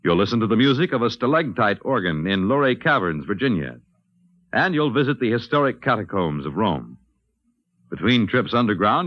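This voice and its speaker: A man's voice: medium pitch, no echo. A man announcing upcoming programs and promos on a 1950s radio broadcast. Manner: smooth, persuasive promo delivery. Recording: dry, dull old radio recording.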